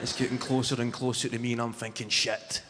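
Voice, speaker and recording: Young Glaswegian stand-up man, deadpan and sweary, live arena with heavy noise. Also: medium pitch, fast talker, big-room sound. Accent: Glaswegian Scottish. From Scotland. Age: 20s. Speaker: stand-up comedian. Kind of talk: stand-up comedy set. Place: large arena on stage. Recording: live arena with loud crowd. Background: audience laughter.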